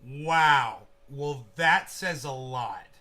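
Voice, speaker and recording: A man's voice: mid-range voice, drawn-out words, pushed and bright. A man hosting a casual livestream, rambling and swearing freely while making sound effects with his mouth. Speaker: channel host.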